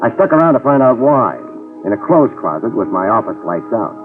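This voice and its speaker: An actor in a 1950s radio detective drama playing a tough, wisecracking middle-aged private eye who narrates in dry hard-boiled style. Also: male voice, medium pitch, very animated, brisk, lightly acted. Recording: tinny lo-fi 1950s radio with music cues.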